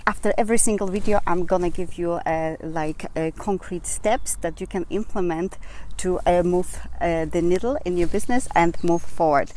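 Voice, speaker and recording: A woman's voice: lowish voice, normal speed, darkish tone. A woman with a Polish accent, for whom English is not her first language, hosting her own channel and giving motivational advice with frequent fillers and restarts. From Poland. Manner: earnest, many fillers and restarts. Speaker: channel host. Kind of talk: motivational talk to camera.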